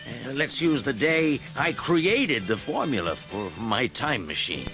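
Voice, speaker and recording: An actor playing an excitable, eccentric old male scientist with a dramatic, emphatic delivery in a children's read-along story. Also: medium pitch, measured pace, music in the background. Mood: proud, excited.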